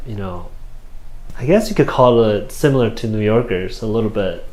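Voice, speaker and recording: A man's voice: on the low side, expressive, a little mellow. Korean-accented man in an echoey room. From South Korea. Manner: halting, many fillers and restarts, laughs often. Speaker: interview guest, clarinetist. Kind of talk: casual interview answers. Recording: roomy echo.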